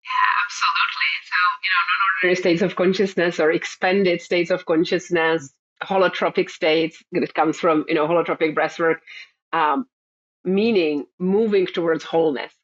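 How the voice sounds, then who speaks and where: A woman's voice: fairly low pitch, fairly even intonation, fairly bright tone, breathy edge. Middle-aged woman, reflective therapist guest, muffled lo-fi recording. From Czech Republic. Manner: thoughtful, halting, many fillers and repeated words. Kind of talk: interview answers. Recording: dull lo-fi sound.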